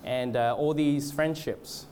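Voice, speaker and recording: A man from Australia and Canada with a cold, speaking as a preacher in an informal, halting, hesitant way. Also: medium pitch, fairly even intonation, a little mellow, slight background noise. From born in Australia, moved to Canada. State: has a cold. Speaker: guest preacher at a church revival gathering. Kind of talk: informal sermon with congregational prayer.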